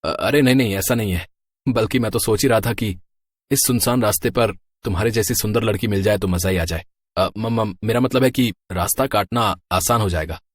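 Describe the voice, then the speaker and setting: A man's voice: on the low side, a little fast, husky, no echo. A man playing a cocky, easily rattled biker in a horror story, talking colloquially. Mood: flirty, flustered. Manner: colloquial, stammers when flustered. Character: chatty, cocky married male biker, easily terrified. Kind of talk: horror story character dialogue.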